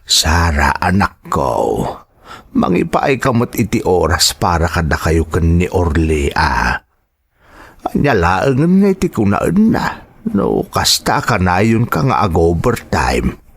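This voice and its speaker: A man playing a gentle, concerned elderly father in an audio drama, with a Filipino accent. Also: low-pitched, wildly swooping pitch, slow, vocal fry, slightly rough, dry recording, full character voice. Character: gentle, concerned elderly father advising his grown daughter.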